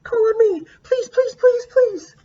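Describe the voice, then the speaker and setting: A man voicing an excited little girl mouse from a picture book, squealing and pleading in a high voice. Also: takes it slowly, dull audio.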